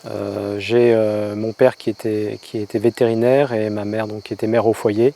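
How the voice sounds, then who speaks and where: A man from France telling his personal testimony, halting and full of hesitations and restarts. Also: lowish voice, level tone, gravelly, dark tone. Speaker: convert telling his story.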